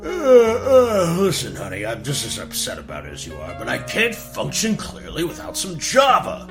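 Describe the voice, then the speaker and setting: A man's voice: medium pitch, huge pitch swings, normal speed, gravelly, over music. A man voicing a flustered, caffeine-starved king in a comedic fairy-tale parody, blustering and stammering. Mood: weary, flustered.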